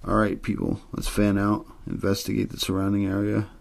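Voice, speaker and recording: Storybook police captain read by a man: low, gravelly, firm, businesslike, used to giving orders. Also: monotone, brisk. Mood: commanding. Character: male police team captain, businesslike and commanding.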